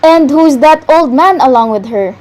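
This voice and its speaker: A woman's voice: high voice, lively intonation, moderate pace, darkish tone. A woman playing a fretful, demanding mother who questions insistently in an amateur radio play. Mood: affronted.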